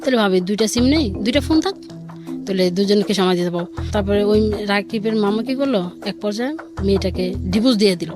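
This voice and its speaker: A woman's voice: medium pitch, fairly quick. A woman guest telling a personal story informally, rambling and quoting dialogue as she goes. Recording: music bed under the voice. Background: background music.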